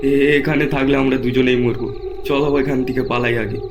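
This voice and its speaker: A man's voice: mid-range voice, level tone, brisk, background noise, close to an everyday voice. A man playing a cowardly, panicky young man in a horror cartoon, with stammering, whiny, pleading delivery. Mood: frightened.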